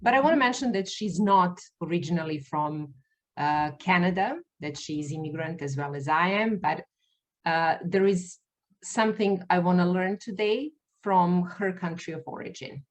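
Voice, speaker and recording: Low-voiced woman hosting an interview. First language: not English. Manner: halting, many fillers and restarts. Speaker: podcast host. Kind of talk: interview podcast. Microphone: video call.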